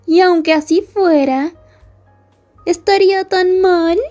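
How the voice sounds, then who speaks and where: Woman acting a haughty, high-voiced, drawling bully girl. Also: fairly even intonation, slow, dark tone, faint music behind. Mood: teasing, smug. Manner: taunting, drawling, giggly sarcasm. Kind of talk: Spanish-language ASMR roleplay acting.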